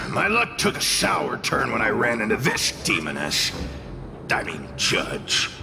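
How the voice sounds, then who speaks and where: A haughty, sarcastic spirit from a video game. His gravelly delivery swings wildly up and down and takes its time, dripping with theatrical grumbling. Music plays behind him. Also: fairly low pitch, wildly swooping pitch, takes it slowly, exaggerated voice. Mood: grumbling indignation. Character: grumpy, sarcastic male fiery spirit, haughty and dramatic.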